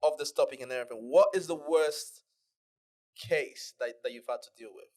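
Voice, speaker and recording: A man's voice: on the high side, a little fast, a little mellow. A man hosting a casual podcast chat, laid-back and slangy, who keeps chiming in with "yeah". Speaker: podcast host.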